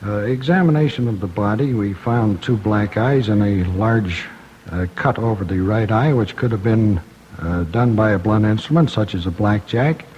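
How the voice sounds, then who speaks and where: An American man giving a formal police report as a detective, halting and matter-of-fact. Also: lowish voice. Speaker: homicide detective. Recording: muffled old radio, faint noise.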